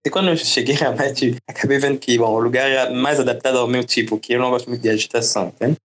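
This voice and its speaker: A man from the Democratic Republic of the Congo, a native French speaker, explaining at length in a relaxed conversational interview. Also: mid-range voice, quick pace. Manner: relaxed, explanatory, often adds "né?" and "entende?". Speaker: podcast guest.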